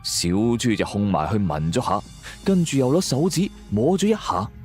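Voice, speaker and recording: A man's voice: fairly low pitch, huge pitch swings, moderate pace, darkish tone. A man narrating a scripted suspense story in a dramatic way. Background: background music.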